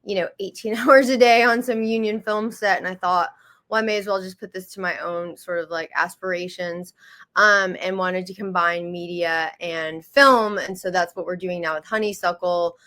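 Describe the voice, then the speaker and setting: A woman's voice: fairly low pitch, steady tone, moderate pace, bright, forceful tone. Hesitant American woman, interview guest. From United States. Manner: hesitant, many fillers and restarts; self-described shy. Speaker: magazine founder and publisher, guest. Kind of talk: casual interview answers.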